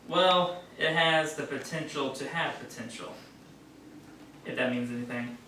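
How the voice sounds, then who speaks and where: A man's voice: medium pitch, unhurried, pushed and bright. A man playing a self-assured radio drama writer in a stage play, casual and slightly patronizing. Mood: encouraging, matter-of-fact. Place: theater stage, distant mic. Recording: very echoey stage, distant, noisy.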